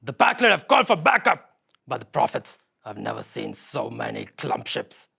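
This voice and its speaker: A man playing a grim starship bridge officer with a rough, gravelly voice, delivering dire reports in a sci-fi reading. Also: medium pitch, sing-song, moderate pace, bright, forceful tone, heavily acted. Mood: grave, urgent. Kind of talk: sci-fi comedy table read.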